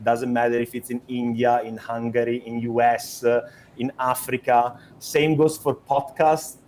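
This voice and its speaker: A man's voice: mid-range voice, darkish tone, slight background noise. A young adult man from Italy with an Italian accent, a startup co-founder talking in an interview, hesitant with many restarts and fillers. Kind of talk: conversational interview.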